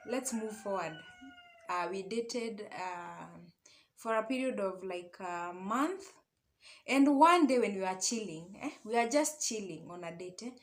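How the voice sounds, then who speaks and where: A young woman with a Kenyan accent telling a personal story casually and conversationally, mixing English and Swahili and often checking in with little tag questions. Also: medium pitch. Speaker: online storyteller and love coach.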